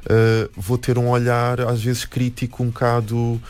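A man with a European Portuguese accent, a musician and anthropologist talking reflectively in an interview, pausing and restarting often. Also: mid-range voice, completely flat delivery, a little mellow, clean tone. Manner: hesitant, many restarts and fillers.

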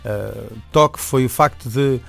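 A man's voice: medium pitch, measured pace, creaky, soft background music. A man from Venezuela, a native Spanish speaker, chatting casually as an interview guest, hesitating and repeating words. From born in Venezuela, in Portugal from age ten.

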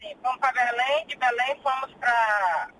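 A woman's voice: a little high, normal speed, bright, forceful tone. A woman with a northern Brazilian accent, explaining things informally and repetitively as a guest on a distant, noisy call from a vehicle. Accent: northern Brazilian Portuguese. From Pará, northern Brazil. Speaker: interview guest. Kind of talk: casual phone chat. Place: inside a vehicle on the road.

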